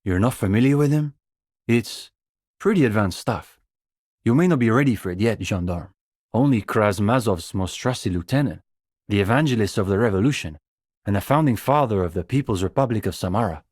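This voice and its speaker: Bookish young communist student, lowish voice, dry close recording, earnest, idealistic, faintly condescending, video game dialogue. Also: male voice, expressive, darkish tone, no echo. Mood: condescending, scholarly. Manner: earnest, intellectual, hesitant, measured phrasing.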